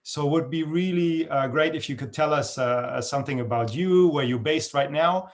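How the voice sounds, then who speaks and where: A man's voice: fairly high pitch, normal speed. A man hosting a podcast interview, rambling through his questions with restarts. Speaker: podcast host. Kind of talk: casual interview talk.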